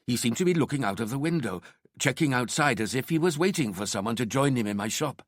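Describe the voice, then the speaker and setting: A man's voice: medium pitch, animated, quick pace, no echo, lightly acted. Guy putting on a polite chatty old indian shopkeeper in his sixties, indian accent, audiobook style, dry close sound. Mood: eager, helpful. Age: 60s. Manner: deferential, talkative, proud. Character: elderly Indian shopkeeper, courteous, talkative ex-policeman. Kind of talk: audiobook character acting.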